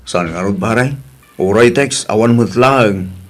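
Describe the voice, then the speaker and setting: A man's voice: on the low side, measured pace, a little hiss or hum, lightly acted. A man playing an earnest, anxious husband and polite son in a radio drama. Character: worried husband of an overseas worker, polite son. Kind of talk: Ilocano radio drama acting.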